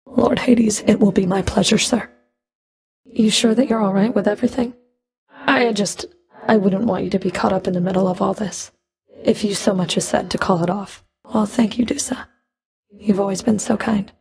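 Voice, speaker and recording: A woman's voice: medium pitch, fairly even intonation, normal speed, vocal fry, darkish tone, lightly acted. A woman playing a stern, sardonic underworld fury in a video game, speaking in a cool, clipped, dry and commanding manner. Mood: deferential, then soft, restrained. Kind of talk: video game character voice lines.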